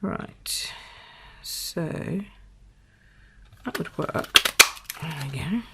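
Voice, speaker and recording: A woman from the UK, slightly croaky, demonstrating a papercraft project at her table in a chatty, think-aloud way. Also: lowish voice, very slow, heavy background noise. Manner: chatty, thinks aloud with fillers and small apologies. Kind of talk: casual craft tutorial. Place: indoors at a craft table.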